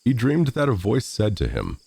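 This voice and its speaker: Guy reading a fairy tale, really deep gravelly voice, measured storyteller delivery. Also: wildly swooping pitch, dark tone, no echo. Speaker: storyteller, narrator of fairy tales. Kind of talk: scripted storybook narration.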